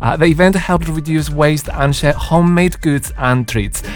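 A man's voice: on the high side, normal speed. Male local radio presenter over music. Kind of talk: live radio chat and local news presenting.

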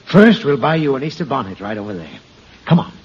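A man's voice: medium pitch, sing-song, brisk, lightly acted. A man playing a long-suffering, indulgent, blustery father in an old-time radio comedy. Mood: upbeat. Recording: dull vintage radio recording, audience, noise.

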